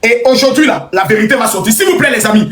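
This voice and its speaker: A man's voice: very high-pitched, lively intonation, fast talker, reverberant, crowd noise. A man hosting a live stream, urging viewers to share it and handing the floor to speakers.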